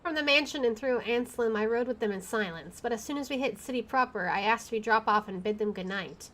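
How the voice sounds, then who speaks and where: A woman's voice: a little high, brisk, fairly bright tone. A woman streamer reading visual novel narration aloud, expressively, with casual chatty asides. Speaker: video game streamer.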